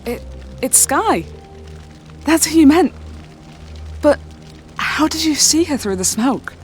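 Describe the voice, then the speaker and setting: A woman's voice: high-pitched, lively intonation, slow, soft background music. A woman playing a shaken, earnest English teenage goth girl in an audio drama. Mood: confused, worried.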